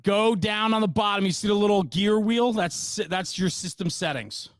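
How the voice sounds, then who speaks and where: A man's voice: high voice, moderate pace, no room sound, clean studio sound. A man hosting a podcast with casual live banter, informal, direct and teasing. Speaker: podcast guest host.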